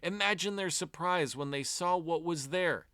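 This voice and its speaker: A man reading a fairy tale aloud to children as an expressive storyteller, with deliberate storybook phrasing. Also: on the high side, no echo.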